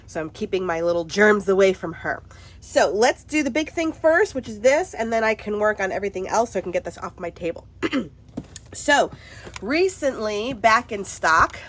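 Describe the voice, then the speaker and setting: Chatty woman with a stuffy cold, excited, giggly, doing a craft haul at home. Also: mid-range voice. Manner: bubbly, chatty, laughs often, excitable. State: congested from a cold. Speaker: craft vlogger. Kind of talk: casual haul video chat.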